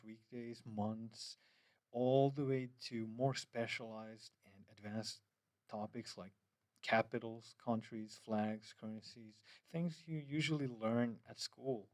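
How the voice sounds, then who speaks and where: Man, mid-pitched, hesitant app walkthrough, dry booth-like sound. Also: no room sound. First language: Finnish. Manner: hesitant, many fillers and restarts, loose phrasing. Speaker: app developer. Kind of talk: screen-recorded app demo.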